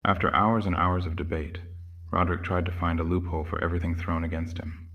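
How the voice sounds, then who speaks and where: A man's voice: low voice, little pitch movement, quick pace, muffled recording. A man giving scripted narration for a horror audio drama.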